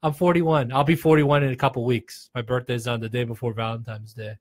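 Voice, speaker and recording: A man's voice: medium pitch, steady tone, fairly quick. Easygoing man in his forties. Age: 40s. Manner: easygoing, rambling, lots of agreeing fillers. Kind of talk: casual chat.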